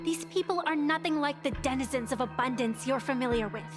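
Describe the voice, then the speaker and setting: A woman's voice: high voice, a little fast, close to an everyday voice. An actress voicing a playful young woman fighter who turns earnest in a game. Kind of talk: voiced game dialogue. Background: game music.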